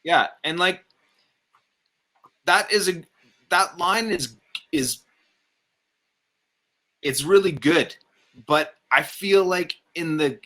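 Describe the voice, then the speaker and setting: A man's voice: on the high side, takes it slowly, pauses often, sharp tone. A man talking casually about movies, opinionated and rambling, with some swearing. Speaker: film review show panelist.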